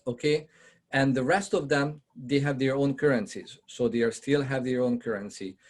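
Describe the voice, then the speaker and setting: A man from Hungary with a Hungarian accent, giving a conversational presentation on a video call, often pausing with fillers and asking his audience questions. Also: mid-range voice. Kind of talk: online presentation to realtors.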